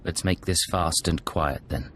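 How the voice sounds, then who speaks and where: A man's voice: low voice, steady tone, unhurried, a bit bright, a little hiss or hum. A man acting a cool, controlled imperial secret agent in a video game, with terse delivery.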